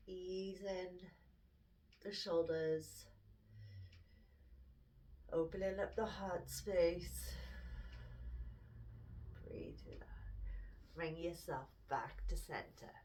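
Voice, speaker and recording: A woman leads a yoga session in an unhurried, soothing way, her voice sitting on the lower side and a little nasal from hay fever. She gives gentle cues and slips into near-whispers now and then. She sounds some way off from the microphone. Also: recorded from a distance. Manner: calm, gently encouraging cues, drops to a whisper at times. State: stuffy-nosed from hay fever. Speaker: yoga instructor. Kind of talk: guided yoga instruction.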